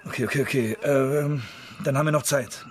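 An actor playing an officious police investigator in a radio drama, talking in a businesslike, official way. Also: male voice, mid-range voice, fairly even intonation, fairly quick, slight background noise, natural voice. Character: male police investigator, brisk, officious, in charge. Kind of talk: radio drama acting, dictating a formal report.

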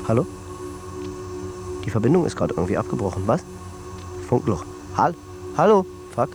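A man's voice: medium pitch, big pitch swings, moderate pace. An actor playing an anxious business manager losing his grip, in a tense, repetitive radio drama monologue. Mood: pleading, frustrated. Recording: music behind the voice.

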